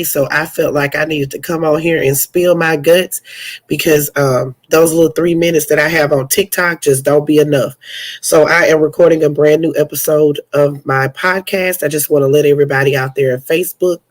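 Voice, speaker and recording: A woman's voice: low-pitched, fairly even intonation, normal speed. A young woman hosting a news podcast, chatty and sassy, calling her listeners honey and sounding stuffy from a cold. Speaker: podcast host and news commentator. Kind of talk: casual news commentary podcast.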